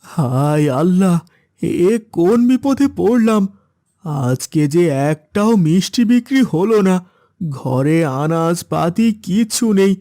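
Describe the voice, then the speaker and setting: A man's voice: sits high, animated, slow pace, mellow, exaggerated voice. A man voicing a poor, plaintive village sweet-seller and husband in a moral-story cartoon. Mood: despairing. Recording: music behind the voice.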